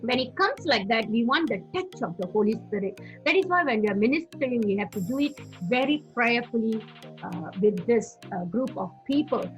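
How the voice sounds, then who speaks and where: A woman's voice: a little high, animated, moderate pace, faint music behind. A woman evangelist from Singapore giving earnest teaching and testimony, repeating phrases for emphasis.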